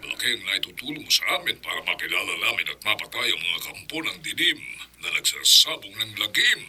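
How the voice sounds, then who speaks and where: An actor with a Filipino accent playing a fierce monster-hunting village captain in a horror radio drama. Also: male voice, fairly low pitch, sing-song, quick pace, breathy edge, heavily acted. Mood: conspiratorial, grateful. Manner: forceful, commanding, dramatic.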